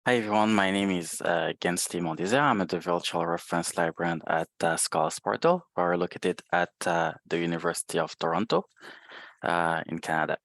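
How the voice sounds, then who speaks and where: Slow, hesitant man with a low, gravelly voice. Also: a bit bright, no room sound. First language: French. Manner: hesitant, many filler sounds. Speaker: guest presenter, librarian. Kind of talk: webinar presentation. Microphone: video call.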